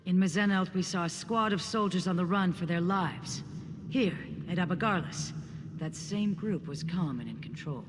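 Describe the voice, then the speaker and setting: An actor playing an earnest, courteous scholarly adventurer in a fantasy video game, speaking in measured, formal phrases. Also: male voice, high-pitched, long reverb tail, a little hiss or hum, close to an everyday voice. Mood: solemn. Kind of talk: video game character dialogue.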